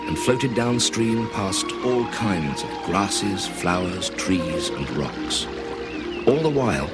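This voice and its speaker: A man narrating a fairy tale as a scripted storyteller, with expressive delivery. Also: deep voice, takes it slowly, loud music behind the voice.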